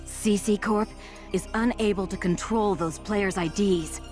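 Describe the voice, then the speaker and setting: A composed, businesslike female agent, as a woman voices her in a game cutscene. She delivers briefings in a crisp, matter-of-fact way at a mid pitch, with faint music underneath. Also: a little slow, darkish tone, lightly acted.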